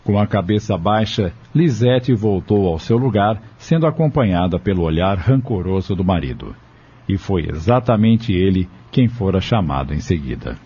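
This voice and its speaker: A man's voice: low voice, lively intonation, moderate pace, dark tone, slightly rough. A man with a Brazilian accent reading scripted narration for an audio drama. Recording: dull, lo-fi audio.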